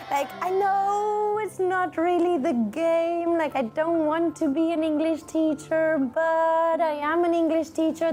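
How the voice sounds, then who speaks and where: A woman co-hosting an interview, chatty, with quick affirmations and giggles. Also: high voice, darkish tone. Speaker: co-host. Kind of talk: casual interview chat.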